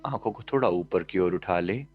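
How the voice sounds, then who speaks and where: A man leading a guided meditation as a teacher, giving calm, measured instructions in short phrases with pauses between them. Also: sits low, husky, phone-quality sound. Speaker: meditation teacher and life coach.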